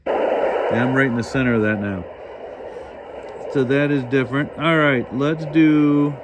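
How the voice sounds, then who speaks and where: Slow-talking man over clear background noise. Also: medium pitch, steady tone. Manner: halting, thinking aloud. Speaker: host demonstrating a shortwave radio. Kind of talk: casual hands-on demonstration, talking while working.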